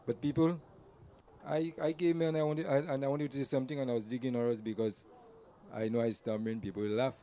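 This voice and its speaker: A man's voice: medium pitch, fairly even intonation, fast, warm tone, a little hiss or hum. A man gives an earnest storytelling speech to a community gathering, repeating words as he restarts his sentences. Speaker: invited speaker at a community gathering. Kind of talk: personal storytelling speech to an audience. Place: community event venue.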